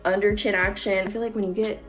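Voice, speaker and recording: Young woman beauty vlogger, cold-deepened voice, chatty, phone mic at home, faint music under. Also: level tone, quick pace. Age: young adult. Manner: chatty, lots of likes and ums. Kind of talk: casual makeup tutorial. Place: bright room at home. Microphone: phone camera at close range.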